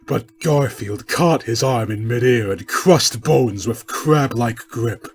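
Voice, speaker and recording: A man narrating a comic story with dramatic, deliberately stilted mock-epic phrasing. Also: mid-range voice.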